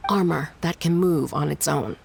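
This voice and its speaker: A woman playing a wry but dutiful shrine subordinate in a video game, creaky-voiced, composed and articulate. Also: low-pitched, moderate pace, very noisy. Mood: serious, reporting. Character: adult female shrine subordinate, wry, teasing yet dutiful.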